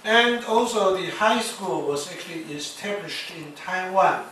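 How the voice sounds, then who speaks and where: Halting man lecturing in an echoey hall. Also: high voice, expressive, bright, forceful tone. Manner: halting, many fillers and restarts. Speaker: university lecturer. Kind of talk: classroom lecture. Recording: echoey lecture hall, distant mic, noisy.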